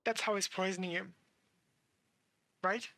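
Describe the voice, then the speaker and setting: A woman's voice: mid-range voice, steady tone, unhurried, vocal fry, pushed and bright, no echo. A woman game streamer giving casual commentary, musing and speculating aloud as she plays.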